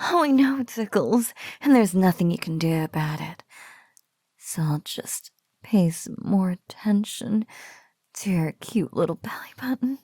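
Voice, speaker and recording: A woman playing a teasing, doting spider-woman captor in an ASMR roleplay, speaking softly in breathy whispers and lingering playfully over the words. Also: mid-range voice, animated, no room sound, clean studio sound, heavily acted. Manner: slow, soft, breathy, lingering teasing delivery.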